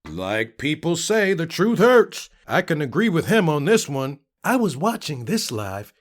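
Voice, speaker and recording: A man with a high voice gives a dramatic reading of social media comments. His pitch swings widely and his delivery is theatrical, changing from one comment to the next. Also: big pitch swings, measured pace.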